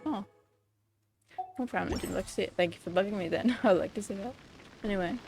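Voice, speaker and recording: A woman's voice: medium pitch, quick pace, creaky, over music. A woman livestreamer chatting casually while gaming, rambling and hesitant, with muttered asides. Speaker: game livestreamer.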